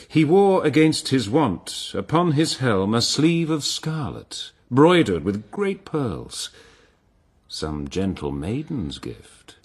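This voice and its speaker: A man's voice: mid-range voice, big pitch swings, takes it slowly, husky, exaggerated voice. An actor playing a grave, trusting king in a verse drama, stately and theatrical in delivery. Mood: grave, hopeful. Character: noble king, grave, trusting, wounded by deception.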